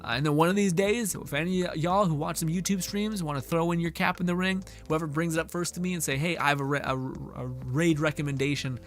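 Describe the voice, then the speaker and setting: A man streaming and chatting casually with viewers, rambling with many restarts, his voice a little hoarse. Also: fairly high pitch, steady tone, brisk, hardly any pauses, soft background music. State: slightly hoarse. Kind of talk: casual stream chat, reading chat.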